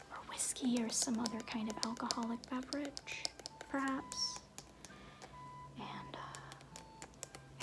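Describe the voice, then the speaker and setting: A woman playing a flirty, glib circus fool in an ASMR roleplay, half-whispering with halting, offhand, teasing asides. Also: on the high side, noisy background. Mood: mysterious, hushed. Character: flirty, glib circus fool and sham fortune-teller, playfully evasive.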